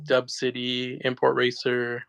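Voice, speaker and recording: A man's voice: medium pitch, monotone, a little slow, gravelly, fairly bright tone, clear, resonant tone, no room sound. A man in his forties, a guest in a casual chat, easygoing and laughing often as he trails off. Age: 40s.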